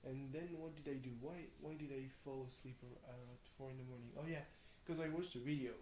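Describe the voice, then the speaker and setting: A tired young man rambles casually through a video diary, halting and thinking aloud, often questioning himself. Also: mid-range voice, fairly even intonation, darkish tone. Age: young adult. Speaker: video blogger. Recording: roomy echo, faint background noise.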